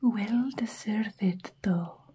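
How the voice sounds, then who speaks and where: A woman's voice: mid-range voice, mellow, slight background noise. A woman playing an imperious, hungry, centuries-old vampire bride in a whispered, ASMR roleplay, close to the microphone. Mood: haughty, savoring. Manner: breathy whispering, drawn-out theatrical delivery.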